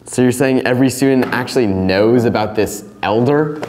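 A man's voice: medium pitch, moderate pace, a little mellow, close to an everyday voice. A young man playing a confused, sceptical student in an amateur comedy skit. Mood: puzzled. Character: confused, sceptical male student cramming for a history test. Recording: echoey room, faint background noise.

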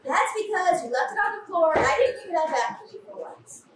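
A woman's voice: very high-pitched, expressive, a little fast. A young woman playing an exasperated, bossy older sister in a staged play reading, scolding in a stern, clipped way. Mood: angry scolding. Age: young adult. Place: small café, indoors, live reading. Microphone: far camera microphone. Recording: echoey, distant room recording, faint noise.

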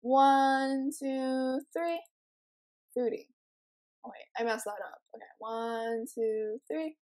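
A teenage girl with a raspy morning voice, chatting casually and giggling while commentating a life-sim game. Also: high-pitched, takes it slowly, darkish tone. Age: teens. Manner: chatty, giggly, rambling with many ums. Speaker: let's play host.

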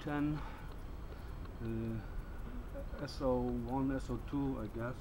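A man in his thirties with a German accent, haltingly presenting a gear demonstration in English as his second language. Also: medium pitch, steady tone. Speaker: bushcraft video presenter. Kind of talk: informal gear demonstration to camera. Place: outdoors at a wooden bench by trees. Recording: noisy outdoor recording with ambient sounds.

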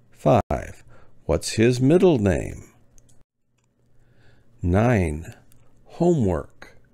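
Very slow, deep-voiced man teaching. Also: warm tone, no echo, studio quality. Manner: spaced-out words with long pauses, clear enunciation. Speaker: ESL teacher. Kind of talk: slow, deliberate lesson reading.

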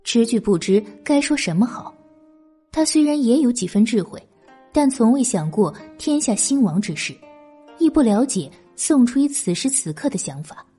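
A woman's voice: on the high side, expressive, moderate pace, mellow, breathy edge, faint music behind. A woman reading scripted dramatic narration of a historical novel as an audiobook narrator.

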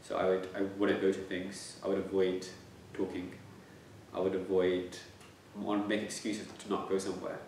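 A man's voice: low-pitched, monotone, moderate pace, darkish tone, a little hiss or hum. A man in his twenties who stammers, repeating words and restarting as he answers interview questions reflectively. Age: 20s. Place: plain room indoors. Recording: echoey room, slightly distant mic.

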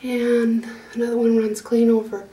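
A woman's voice: fairly high pitch, completely flat delivery, moderate pace, warm tone, slight background noise. A middle-aged woman, giving a rambling, homespun tour of her small wooden goat barn with lots of fillers and restarts. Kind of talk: casual walkthrough narration. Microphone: handheld phone camera.

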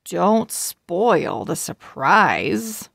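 A woman reading a children's storybook aloud deliberately, word by word, with expression for young readers. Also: fairly low pitch, sing-song, creaky, fairly bright tone, no echo, studio quality. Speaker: children's read-aloud host.

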